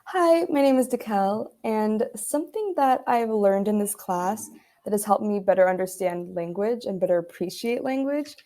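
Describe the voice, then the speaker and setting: A woman's voice: mid-range voice, normal speed, mellow. A woman student recording a video reflection for class, explaining earnestly with some fillers.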